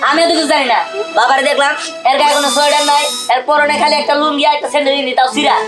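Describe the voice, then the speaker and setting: A young cartoon husband played by a woman with a high voice and a very quick, demanding delivery. He is bossy and hot-tempered, and loud music runs beneath his colloquial speech. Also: flat delivery, natural voice.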